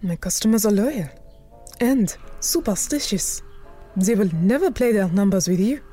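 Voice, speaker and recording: A woman's voice: medium pitch, lively intonation, moderate pace, mellow, breathy edge. A woman acting a proud, guarded Harlem numbers boss in a period audio drama, firm, formal and suspicious. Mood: guarded, resolute. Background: music.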